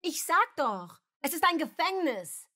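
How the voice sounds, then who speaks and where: A woman's voice: sits high, big pitch swings, a little slow, pushed and bright, full character voice. A woman playing an indignant, rebellious teenage girl in a radio play, speaking emphatically. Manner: outraged, emphatic.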